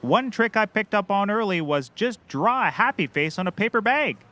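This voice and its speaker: A man's voice: high voice, expressive, moderate pace, sharp tone. A man playing a cocky, clueless small-time private eye in a scripted comedy, glib and rambling. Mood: breezy lecturing. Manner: glib, rambling, self-assured.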